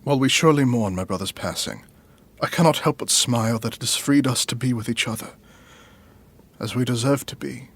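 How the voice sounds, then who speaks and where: An actor playing a middle-aged, ambitious royal brother and devoted lover in an audio drama, husky and formal, with measured, pompous phrasing. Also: male voice, mid-range voice, natural voice. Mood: adoring.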